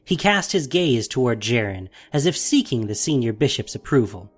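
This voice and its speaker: A man narrating a scripted audio drama in an expressive, theatrical manner. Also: mid-range voice, normal speed.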